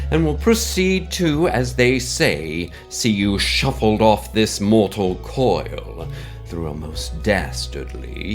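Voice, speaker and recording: A man playing a mysterious wealthy benefactor in an audio drama, with a pompous, florid, formal manner and theatrical pauses. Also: low-pitched, full character voice. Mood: slyly menacing. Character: mysterious wealthy male benefactor, pompous, grandiose, slyly menacing. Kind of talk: scripted audio drama monologue.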